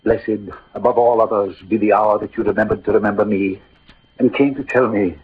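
A proud and witty swordsman who is also a lovesick poet. His speech is declamatory and eloquent in classic radio drama style. The voice is very rough and husky, heard through a narrow, phone-like vintage recording. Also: on the low side, fairly even intonation, brisk. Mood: tender. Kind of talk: 1940s-50s theatrical radio drama acting.

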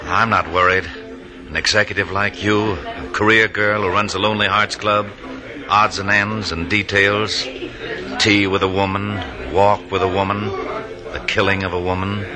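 An actor plays a sardonic scheming husband in a 1940s radio play. His voice is somewhat low, with a dry, insinuating delivery. Crowd-like murmur sits behind him in the old recording. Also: steady tone, moderate pace, natural voice. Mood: uneasy, insinuating. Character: sardonic conspiring husband, secretly alive. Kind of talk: 1940s-50s radio drama acting.

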